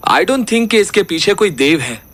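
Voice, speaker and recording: A man's voice: sits high, sing-song, a little slow, fairly bright tone, dry recording, exaggerated voice. An actor playing an analytical, sceptical young man investigating ghosts in a horror audio drama. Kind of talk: dramatised horror audio story, Hindi mixed with English.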